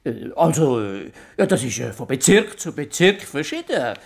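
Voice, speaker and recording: A man's voice: a little high, very animated, normal speed, creaky, slightly rough, full character voice. A man playing a cunning, self-important fox detective with a Swiss German accent and a dismissive, blustering manner in a children's radio play. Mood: pompous.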